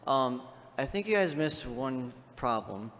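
A man's voice: mid-range voice, expressive, a little slow, fairly bright tone. A man speaking as an audience member at a live panel, explaining his view through examples. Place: large convention panel room, on audience microphone. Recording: echoey hall microphone with background noise.

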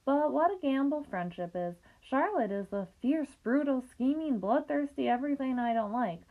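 A woman voicing an innocent, soft-hearted young male pig in a storybook reading, speaking earnestly and plaintively. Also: sits high, animated, normal speed, narrow, tinny audio, pitched above the performer's own voice.

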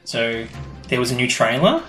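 A man's voice: mid-range voice, very animated, normal speed, bright, forceful tone, faint music behind. A man co-hosting a podcast in casual chat, explaining things with a fan's enthusiasm. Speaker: podcast co-host. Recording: echoey room.

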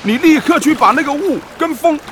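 A radio drama army commander, exasperated and bombastic. His voice sits very high and swings widely as he blusters and sputters. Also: animated, brisk, noisy background. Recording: music behind.

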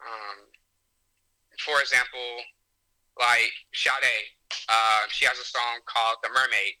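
A young man, a singer-songwriter, answering interview questions in a relaxed way with many fillers. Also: mid-range voice, poor audio. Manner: unhurried, filler-heavy, confident about his music.

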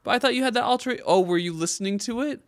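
A man's voice: very high-pitched, racing. An American man chatting casually as a co-host on a podcast. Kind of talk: casual chat.

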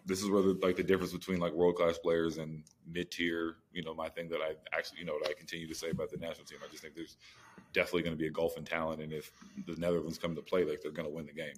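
A man's voice: extremely low pitch, little pitch movement, racing, a little hiss or hum. A man co-hosting a sports podcast, giving casual analysis in slangy, informal speech with repeated phrases.

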